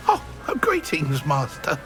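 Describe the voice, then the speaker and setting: A man voicing a servile, nervous house-elf servant in a video game, creaky and cringing, speaking hesitantly. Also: on the high side, wildly swooping pitch, slow, a bit bright, faint music behind, full character voice. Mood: anxious, servile. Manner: cringing, hesitant, speaks of himself in third person.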